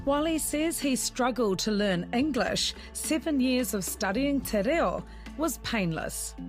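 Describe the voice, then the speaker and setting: A woman reporter delivers scripted news narration with an even, clear delivery. Her voice sits a little high. A music bed plays clearly beneath her. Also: unhurried. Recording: music bed under voice-over.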